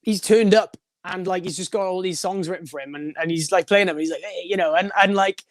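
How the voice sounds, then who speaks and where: A young man with a high voice, a band singer talking in a casual podcast interview, rambling with many restarts and little laughs. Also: quick pace, dry recording, clean studio sound. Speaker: guest, band frontman and vocalist.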